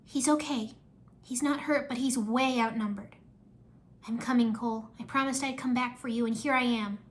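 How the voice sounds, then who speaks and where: A woman's voice: on the high side, fairly even intonation, normal speed, close to an everyday voice. A woman voicing a loyal, determined, anxious storybook heroine in an earnest way.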